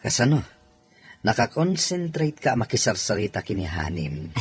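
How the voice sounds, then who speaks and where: A man's voice: on the low side, very animated, normal speed. A man with an Ilocano accent acting a reserved, lonely young father in an audio drama. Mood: reserved, teasing.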